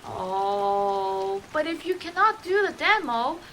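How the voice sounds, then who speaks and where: A woman's voice: a little high, very animated, slow pace, fairly bright tone, a little hiss or hum, low-quality recording. A woman co-hosting a scripted children's show, speaking expressively to young children.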